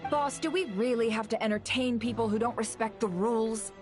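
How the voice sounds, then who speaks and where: A woman's voice: fairly high pitch, a little fast, darkish tone, faint music behind, close to an everyday voice. An actress playing a haughty, witty, imperious lady in an anime game, speaking formally with theatrical scorn. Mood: scornful.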